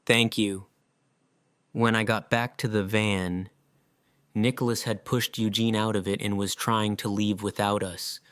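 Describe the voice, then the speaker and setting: A man acting an earnest, guilt-ridden survivor in an audio drama, with halting, emotional delivery. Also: fairly low pitch, flat delivery, fairly bright tone, smooth, no room sound, studio quality. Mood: subdued.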